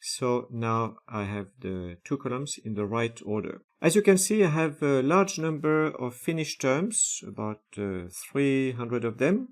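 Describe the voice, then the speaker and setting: A man walks through a software task one click at a time, naming each step before he does it. His voice has a gravelly, creaky edge and sits at an everyday middle pitch. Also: animated, measured pace, few pauses. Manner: methodical, narrating each step with frequent "uh" fillers. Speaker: software tutorial presenter. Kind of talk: screen-recorded software walkthrough.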